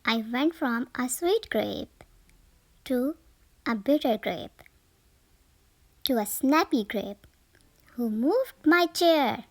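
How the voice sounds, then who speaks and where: A woman reading a children's picture book aloud as a storyteller, with expressive, careful phrasing. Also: high voice, slow, long pauses, no room sound.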